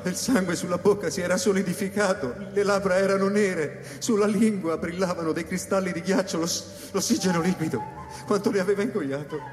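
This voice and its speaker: An actor playing a haunted, lovestruck scientist who narrates a radio drama with intense, broken phrasing. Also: male voice, fairly high pitch, darkish tone, natural voice. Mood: horrified, urgent. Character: haunted male scientist narrating, tormented and in love. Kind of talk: theatrical radio drama, first-person narration and dialogue. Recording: roomy, long hall reverb under music. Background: music.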